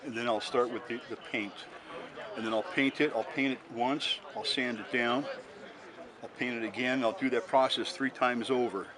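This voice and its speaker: A male hobbyist explains technical work in an interview, going step by step in a casual, matter-of-fact tone. His pitch is average for a man. He is recorded on location outdoors with clear crowd noise around him. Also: steady tone, normal speed. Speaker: interviewee, hobbyist radio restorer. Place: outdoors at a busy swap meet.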